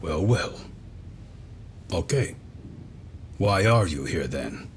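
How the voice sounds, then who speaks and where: A smug, mocking prisoner from a video game, deep-voiced and theatrical. His pitch swings widely with sarcasm, over clearly audible background noise. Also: expressive, takes it slowly, full character voice. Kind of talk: English video game dub acting.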